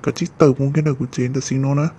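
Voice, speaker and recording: A man voicing a male character in an animated family drama, in a dull lo-fi recording. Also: medium pitch, racing, husky, close to an everyday voice. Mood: conversational. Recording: dull lo-fi sound. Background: music.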